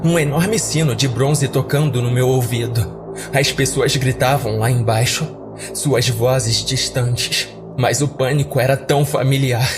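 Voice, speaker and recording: A man's voice: medium pitch, fairly even intonation, unhurried, music in the background. A man narrating a scripted horror story in a dramatic audio drama style.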